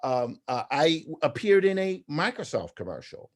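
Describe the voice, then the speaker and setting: A man's voice: fairly high pitch, sing-song, moderate pace. A middle-aged man answering as an interview guest, conversational and earnest, repeating phrases for emphasis. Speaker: interview guest, graphic novelist and artist.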